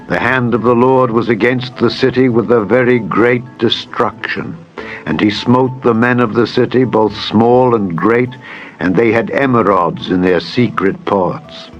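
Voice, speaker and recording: Scripture narrator: man with a lowish voice, grave measured delivery, music bed underneath. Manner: solemn, measured, deliberate phrasing. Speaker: Bible narrator. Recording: dull lo-fi audio with music.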